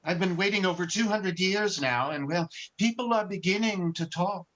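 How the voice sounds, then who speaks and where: A man's voice: high voice, moderate pace, fairly bright tone, dull audio. A man playing an eager, timid old apprentice angel, hesitant and deferential, in an old-time radio drama. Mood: eager, polite. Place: remote video call recording.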